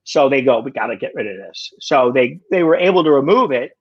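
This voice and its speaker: A man of about sixty, a guest in a casual conversation, talking in halting bursts with lots of restarts and wry self-deprecating jokes. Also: mid-range voice, expressive, slightly rough, muffled recording. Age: 60s. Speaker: podcast guest.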